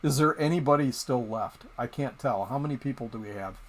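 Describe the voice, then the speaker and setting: A man's voice: mid-range voice, a little fast. Rambling man hosting a live stream. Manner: rambling, hesitant, many ums and restarts. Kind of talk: casual live stream chat.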